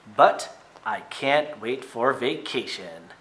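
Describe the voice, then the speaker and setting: A man who is a teacher reading a picture book aloud to children, with expressive, emphatic phrasing and short asides to his listeners. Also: mid-range voice, slow, slight background noise, low-quality recording.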